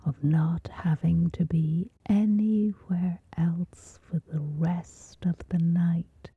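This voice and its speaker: A woman with a soft, whispery voice gently guides a scripted sleep meditation, soothing, with long pauses between short phrases. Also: lowish voice, steady tone, husky, no echo, highs cut off.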